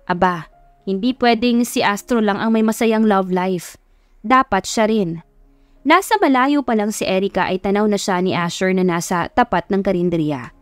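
A woman's voice: mid-range voice, no room sound. A woman reading a scripted love story as its narrator.

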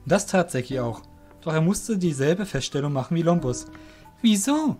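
A man narrating a fantasy audio drama from a script in a deliberate, descriptive manner. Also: fairly high pitch, lively intonation, darkish tone, faint music behind.

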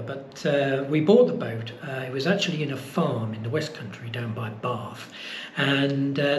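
A man, casually explaining costs to camera with plenty of fillers. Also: mid-range voice. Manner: relaxed, explaining with many uh fillers. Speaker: boat-building vlogger. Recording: echoey room with faint noise.

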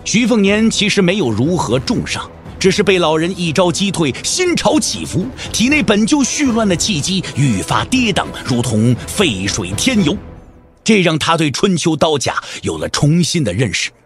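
A man's voice: fairly high pitch, big pitch swings, moderate pace. A man giving dramatic scripted narration for a martial arts audio drama. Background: background music.

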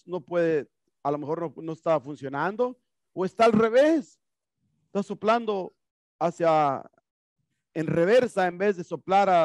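High-voiced man teaching an HVAC class. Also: a little mellow, no room sound. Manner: explanatory, asks and answers his own questions. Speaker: HVAC instructor. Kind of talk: classroom lecture. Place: classroom with whiteboard and HVAC equipment. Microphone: headset mic.

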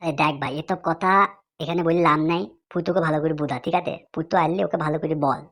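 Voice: medium pitch, fairly even intonation, quick pace, lightly acted. An actor voicing a reasonable village friend in a comedy cartoon, advising. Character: reasonable village friend giving calm advice.

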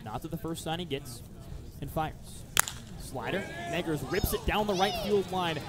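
A man's voice: on the high side, lively intonation, normal speed, very noisy. A man doing live baseball radio play-by-play in short, descriptive phrases. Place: outdoor ballpark broadcast position.

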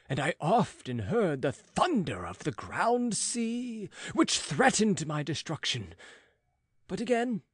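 Theatrical, husky man reading gothic fiction. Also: high-pitched, no echo, clean studio sound. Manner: theatrical, emotive, with drawn-out words and dramatic pauses. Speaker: audiobook reader. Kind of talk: dramatic literary narration of a gothic novel.

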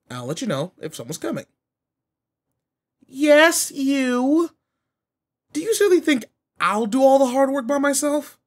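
A man playing a cocky, teasing teenage delinquent with a soft side, talking casually to the listener in a roleplay audio drama. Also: very high-pitched, full character voice. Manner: brash, casual, slangy teasing. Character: cocky, teasing teenage male delinquent, protective and sweet underneath.

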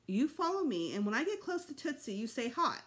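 A woman's voice: sits high, very animated, brisk, voice pushed up. A woman voicing a sensible older brother, a schoolboy taking charge, in a children's audiobook with an earnest delivery. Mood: coaxing.

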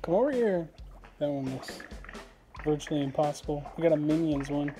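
A man's voice: on the high side, expressive, normal speed, mellow. A young man hosting a vlog, chatting casually and joking easily while at home. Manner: joking, easygoing banter. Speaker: vlog host. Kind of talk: casual chat. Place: home living room, indoors. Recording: background music under the voice.

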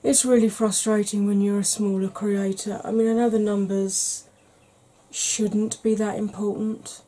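A middle-aged woman chatting softly in a rambling, gentle way for an ASMR makeup vlog, seated in a small room at home. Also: medium pitch, level tone, measured pace, a little hiss or hum. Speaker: beauty YouTuber. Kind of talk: soft-spoken ASMR makeup chat.

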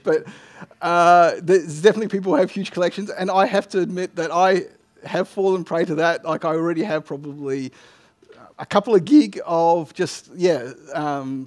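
A man's voice: a little high, steady tone, moderate pace. A middle-aged man giving an informal tech talk and answering questions, self-deprecating and often rephrasing mid-sentence. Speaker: conference speaker. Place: indoor lecture room, on stage at a lectern. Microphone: headset microphone.

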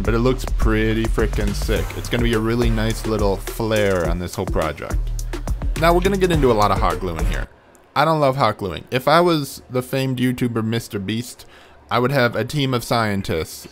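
Man, lower-pitched voice, casual tech narration, self-deprecating humor, faint background music. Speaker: host of a DIY tech project channel. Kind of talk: casual scripted video narration.

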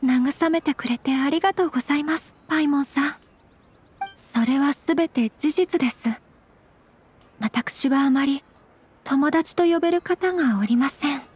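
A woman voicing a refined, shy young noblewoman in an anime game, very polite, formal and soft-spoken. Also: high-pitched, moderate pace, lightly acted. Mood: shy, anxious. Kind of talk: Japanese anime game voice acting.

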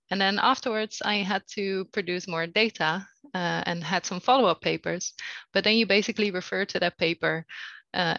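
Woman panel guest explaining her job, lots of vocal fry, bit dull audio. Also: medium pitch, a bit bright, no echo. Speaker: panelist, research data steward. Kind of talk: informal panel introduction.

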